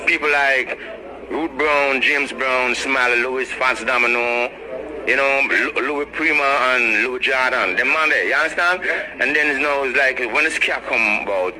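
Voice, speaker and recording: A man's voice: mid-range voice, normal speed, sharp tone. A man from Jamaica with a Jamaican accent, reminiscing casually in a conversational way. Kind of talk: casual reminiscing about music. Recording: noisy background ambience.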